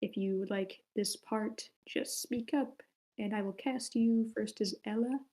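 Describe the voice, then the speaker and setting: A woman's voice: medium pitch, fairly even intonation, unhurried, warm tone. A woman hosting a script table read in a casual way over an online group call.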